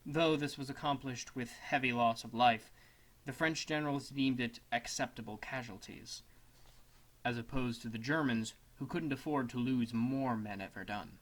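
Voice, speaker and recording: A man narrating a scripted essay as a dramatic reading, in a solemn, measured manner. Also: mid-range voice, fairly bright tone. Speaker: student narrator.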